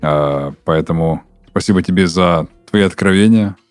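A man hosting a podcast, asking questions in a relaxed, colloquial way. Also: low voice, normal speed, no echo, faint music behind. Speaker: podcast host. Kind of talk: casual interview talk.

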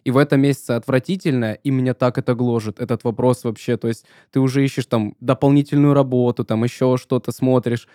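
A man's voice: mid-range voice, fairly even intonation, quick pace, hardly any pauses, warm tone, dry recording, studio quality. Hesitant young man thinking aloud. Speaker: podcast co-host. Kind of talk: casual podcast chat.

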